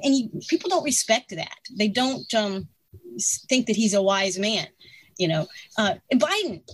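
A woman in her sixties giving strong opinions in casual conversation, animated and laughing between points. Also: medium pitch, breathy edge, no echo, poor audio. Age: 60s.

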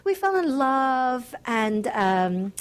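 A woman's voice: high voice, sing-song, very slow, smooth. A middle-aged woman from the United States, a guest author, answering interview questions in an earnest, reflective way with light laughs.

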